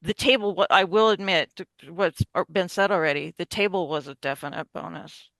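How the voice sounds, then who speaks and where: A woman giving measured, tactful feedback in a casual group discussion. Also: fairly low pitch, fairly bright tone, no room sound. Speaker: radio play narrator and panelist.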